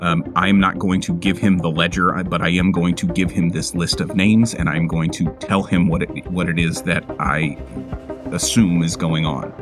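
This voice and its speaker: Very deep-voiced man, halting roleplay. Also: steady tone. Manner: halting, hesitant, searching for words. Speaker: player in a tabletop roleplaying game. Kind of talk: improvised in-character roleplay. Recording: music playing behind the voice. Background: music.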